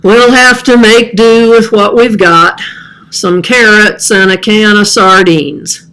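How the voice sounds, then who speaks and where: A woman voicing a gentle, resigned old man in a children's storybook, speaking patiently with some mumbling. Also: mid-range voice, slow pace, clear, resonant tone, poor audio. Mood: sad, resigned.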